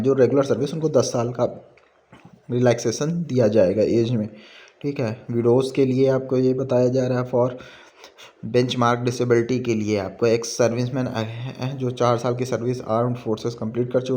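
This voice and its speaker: An Indian man with a soft, mellow voice walks through an official notice in an informal way. His tone is relaxed and his pitch sits mid-range. He reads phrases out haltingly, then explains them plainly. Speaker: presenter of a job recruitment explainer.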